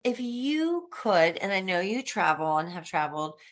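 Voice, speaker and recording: A woman's voice: on the low side, sing-song, moderate pace. Encouraging woman podcast host. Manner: warm, encouraging, affirming listener. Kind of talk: casual interview chat.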